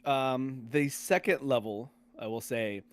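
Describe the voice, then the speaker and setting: Male podcast host, mid pitch, casual game talk, loose rambling explanations. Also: slow pace, no echo.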